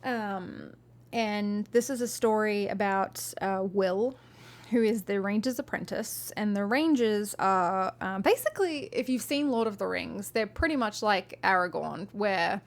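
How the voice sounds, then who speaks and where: A woman's voice: medium pitch, a little slow. A woman streaming live, reading a fantasy novel aloud and chatting with viewers in a friendly, rambling way with frequent ums.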